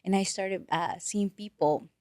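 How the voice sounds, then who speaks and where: Woman from Peru, Spanish native, late twenties, earnest storyteller, middle pitch, dry recording. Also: measured pace, dry recording. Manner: earnest, animated storytelling with restarts. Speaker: financial advisor guest. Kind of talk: casual interview talk.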